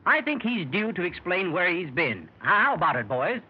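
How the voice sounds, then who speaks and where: An actor playing a genial gentlemen's club member who introduces people and coaxes a friend to tell his story, in 1930s radio drama style. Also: high-pitched, sing-song, moderate pace, a crowd in the background. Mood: coaxing. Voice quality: coaxing, hearty. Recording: muffled hissy 1930s radio transcription.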